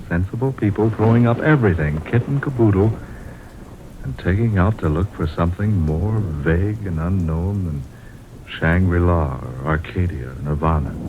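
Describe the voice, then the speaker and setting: A man's voice: low-pitched, lightly acted. An actor playing a bemused, fond writer husband who narrates his travels in an old-time radio drama style. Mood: wry, reflective. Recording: muffled noisy old radio recording, rain behind.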